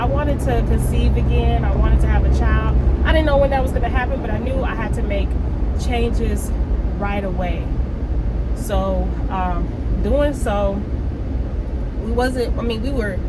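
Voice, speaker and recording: A middle-aged woman with a stuffy, congested voice, talking candidly and reflectively in a personal vlog, with many fillers and restarts. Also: medium pitch. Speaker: vlogger. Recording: loud traffic noise behind.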